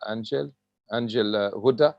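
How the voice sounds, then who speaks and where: A man's voice: on the low side, normal speed, creaky, a little mellow, no room sound, highs cut off. A middle-aged man hosting a live online class over a video call from home, upbeat as he reads out viewer comments and announcements. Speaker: host. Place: home room.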